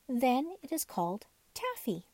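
Storybook rag doll boy played by a woman: playful, enthusiastic, patiently explanatory, mellow tone, dry sound. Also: high voice, wildly swooping pitch, a little slow, no echo, pitched above the performer's own voice, heavily acted. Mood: patiently explaining.

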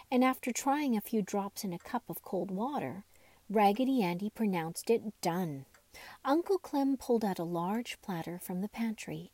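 Female storybook reader: mellow, softened tone, dry close sound, expressive and deliberate telling. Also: mid-range voice, no echo. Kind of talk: scripted children's story narration.

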